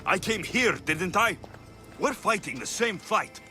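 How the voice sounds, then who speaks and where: An actor playing a determined, brooding young Spartan mercenary in a video game, blunt and intense. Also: male voice, high voice, expressive, unhurried, sharp tone, full character voice. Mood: earnest, urgent. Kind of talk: dramatic video game cutscene acting. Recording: prominent music behind the voice.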